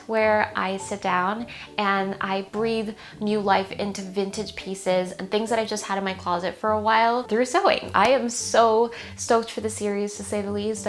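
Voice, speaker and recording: A young woman in her twenties chatting upbeat to camera in her small home sewing studio, her voice a little worn. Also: mid-range voice. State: slightly losing her voice. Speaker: sewing vlogger. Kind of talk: casual vlog talk to camera.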